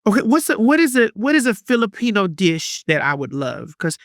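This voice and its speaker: A woman's voice: mid-range voice, big pitch swings, a little fast, no echo, clean studio sound. A woman hosting a podcast in casual chat, sassy and repeating her words, calling her guest honey and girl. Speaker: podcast host.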